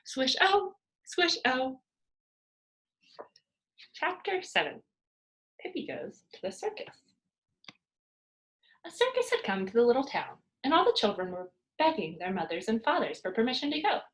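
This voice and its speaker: A woman reading a children's book aloud as a storyteller, with expressive narration for young pupils. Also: a little high, normal speed, low-quality recording.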